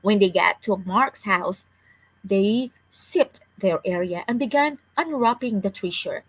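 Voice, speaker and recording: A woman's voice: mid-range voice, a little slow, husky, poor audio. A woman reading a children's story aloud as a storyteller, with careful delivery and the odd stumble over words.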